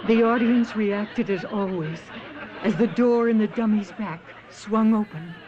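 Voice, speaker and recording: An actress playing an anguished middle-aged wife, speaking dramatically in a radio play, on a lo-fi recording. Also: female voice, medium pitch, a little slow, natural voice. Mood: horrified. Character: horrified middle-aged wife of a stage performer. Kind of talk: first-person radio drama narration and acting. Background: audience crowd noise and laughter.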